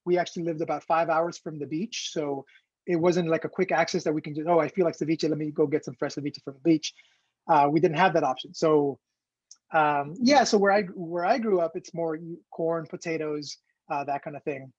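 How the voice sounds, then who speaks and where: A man's voice: a little high, steady tone, a little fast, a little mellow, dry recording. A man who grew up in Ecuador, teaching an online cooking class in a loose, rambling way full of fillers over a video call. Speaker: cooking instructor. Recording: dull, lo-fi call audio.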